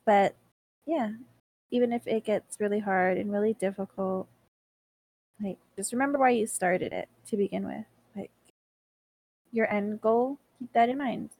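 A woman's voice: mid-range voice, unhurried, long pauses, a little mellow, no room sound. A woman in her twenties co-hosting a podcast, teasing her friends, giggling and asking the group questions. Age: 20s. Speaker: podcast co-host. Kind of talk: casual chat.

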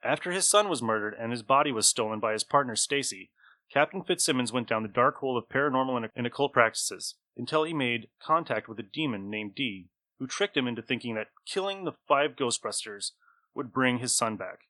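Bright-voiced man running a tabletop game. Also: mid-range voice, expressive, brisk, no echo. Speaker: game master and host. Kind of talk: tabletop game narration with casual table chat.

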